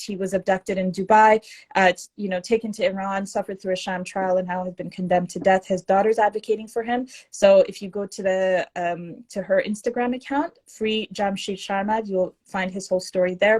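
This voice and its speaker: A woman's voice: medium pitch, darkish tone. Earnest woman reading out hashtags. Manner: earnest, urgent, reads names and hashtags. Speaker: co-host sharing activism updates. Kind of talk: casual livestream talk.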